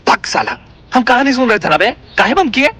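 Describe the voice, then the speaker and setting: Man acting a bumbling ghost, wildly animated pitch. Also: a little fast, a little hiss or hum, exaggerated voice. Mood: chatty, indignant. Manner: excitable, theatrical, rambling. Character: chatty, flirty, bumbling comic ghost buddy. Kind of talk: comedy audio drama acting.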